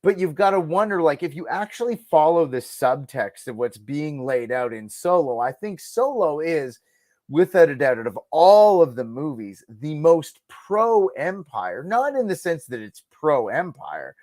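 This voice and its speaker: A man's voice: on the high side, sing-song, unhurried, darkish tone, dry recording. Man musing emphatically, dry close sound. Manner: emphatic, musing fan talk peppered with "like" and "you know". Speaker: podcast guest. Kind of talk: casual conversational opinion.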